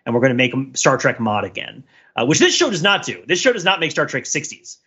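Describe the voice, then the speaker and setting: A man with a bright, forceful voice talks through ideas as a podcast co-host. He is casual but analytical, wandering into asides and hedges as he goes. The audio is slightly dull and band-limited. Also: mid-range voice, sing-song, quick pace, breathy edge. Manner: discursive, thinking aloud, hedging with many asides. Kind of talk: casual panel discussion, analytical.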